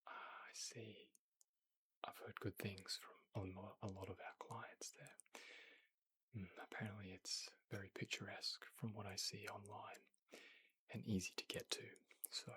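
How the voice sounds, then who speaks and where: Breathy, softly whispering man as a courteous tailor. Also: sits low, full character voice. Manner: gentle, unhurried, polite. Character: courteous, attentive male boutique tailor assisting a client. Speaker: ASMR performer. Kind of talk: ASMR roleplay, softly whispered and murmured.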